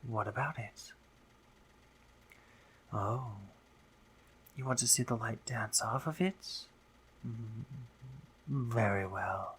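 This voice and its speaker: A man playing a dominant, playful spider monster boy in a romantic roleplay audio drama, teasing and doting in deliberate phrases with breathy murmurs. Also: on the low side, a bit bright, slight background noise. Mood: teasing, coy. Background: ambient sound effects.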